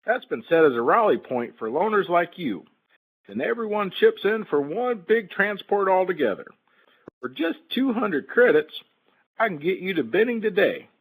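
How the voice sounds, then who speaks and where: A man playing a glib, sleazy shuttle pilot in a game-based audio drama, smooth-talking like a salesman. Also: sits high, very animated, moderate pace, heavily acted, low-quality recording. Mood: falsely reassuring. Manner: smooth-talking, falsely reassuring salesman patter. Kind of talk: fan audio drama, game-story character acting.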